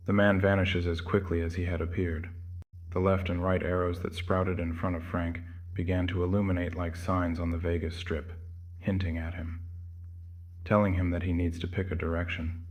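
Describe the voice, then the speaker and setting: A man's voice: sits low, level tone, normal speed, low-quality recording. A man giving scripted narration of a horror story as its narrator.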